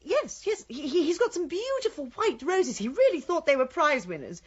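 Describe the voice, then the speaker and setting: An actress playing an earnest, gentle village woman in a radio drama, flustered and hesitant, with an English accent. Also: high voice, sing-song, normal speed, lo-fi sound. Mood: distressed.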